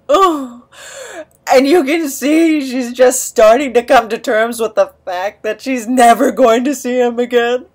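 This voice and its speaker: A woman playing an awkward, emotional misfit in an audio drama, hesitant and stammering, quick to put themselves down. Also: on the high side. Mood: sobbing, overwrought. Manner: hesitant, stammering, self-deprecating. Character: awkward, emotional, rambling misfit named Nap.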